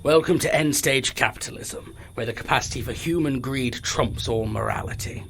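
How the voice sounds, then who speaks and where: A man's voice: medium pitch, normal speed, gravelly, fairly bright tone, slight background noise. A man playing a cocky, sardonic, self-appointed team leader in an audio drama, wry and self-assured. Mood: resolute.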